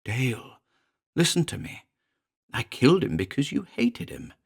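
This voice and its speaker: A man voicing a small, malign male demon familiar who speaks in a shrill, mocking, leering way. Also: very animated, unhurried, gravelly, husky, heavily acted. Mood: gloating.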